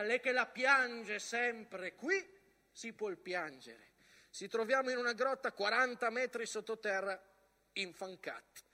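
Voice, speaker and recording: An actor performing a theatrical monologue as a soldier-narrator of the First World War, reading front-line letters aloud in emphatic, broken phrases. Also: male voice, sits high, sharp tone, heavily acted. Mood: anguished.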